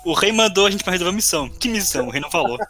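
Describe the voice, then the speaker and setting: A man's voice: high-pitched, expressive, racing, loud music behind the voice. A Brazilian man chatting casually as a podcast co-host, teasing and wry in his commentary.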